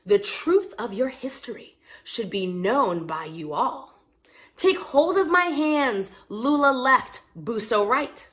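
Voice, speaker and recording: A woman voicing a kindly, wise male toy bear who guides children in a storybook, gentle and courteous. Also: on the high side, expressive, takes it slowly. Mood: reassuring.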